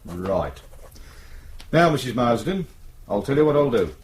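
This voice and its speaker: An actor playing a brisk, world-weary British detective sergeant in a radio drama, reassuring but patronising and colloquial. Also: male voice, medium pitch, sing-song, a little hiss or hum. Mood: businesslike, soothing. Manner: matter-of-fact, patronising, colloquial.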